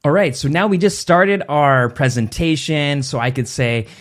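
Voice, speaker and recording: A man in his thirties hosting a screen-recorded software tutorial, explaining step by step in a casual way, recorded in a small home studio on a boom mic. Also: expressive, normal speed. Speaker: tutorial host. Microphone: boom mic on arm near face.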